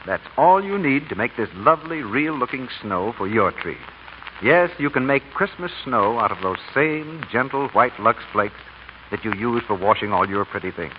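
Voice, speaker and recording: A man's voice: medium pitch, expressive, moderate pace. A man reading a scripted 1940s radio commercial as the announcer. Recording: muffled old radio, faint noise.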